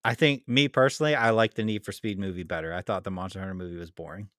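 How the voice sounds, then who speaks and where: Casual man podcasting, fairly low dry voice. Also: fairly even intonation, fast, fairly bright tone, no room sound. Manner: informal, conversational debate. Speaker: podcast host.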